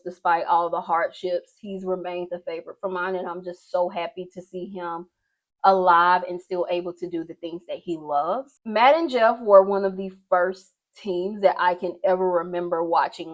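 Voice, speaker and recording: A young woman vlogger chatting casually and gushing in informal slang while she does her makeup. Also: on the low side. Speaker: beauty and wrestling vlogger.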